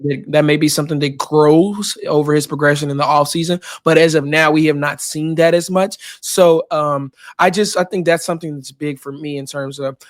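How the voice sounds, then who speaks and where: A young man with a drawl talks college football, his voice worn hoarse. He holds a narrow, even pitch sitting a bit high for a man, but his words tumble out full of fillers and restarts. The sound is dry and close. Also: normal speed, hardly any pauses, dry recording. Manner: rambling, passionate fan with many fillers. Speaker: co-host. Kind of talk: casual sports podcast chat.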